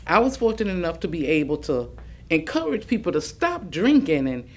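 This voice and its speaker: An actress as an elderly grandmother tells her life story on stage. Her pitch swings widely as she reminisces, folksy and reflective. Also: sing-song, a little fast, darkish tone. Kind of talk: dramatic staged reading of oral history.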